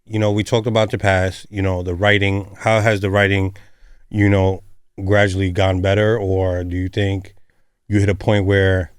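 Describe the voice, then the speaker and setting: A man's voice: deep voice, flat delivery, normal speed, smooth, no room sound. A man hosting a podcast in a casual interview style, speaking in an informal, slangy and encouraging way. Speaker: podcast host interviewing a musician.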